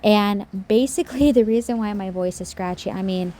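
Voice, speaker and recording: A woman's voice: mid-range voice, normal speed, dark tone. A woman recording a casual nail-art video voiceover. Her voice is slightly scratchy from a sore throat, and she talks in a chatty, rambling way with frequent restarts.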